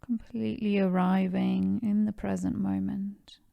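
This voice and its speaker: A woman narrating a guided meditation in a calm, soothing, measured way. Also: medium pitch, creaky, no echo, muffled recording. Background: background music.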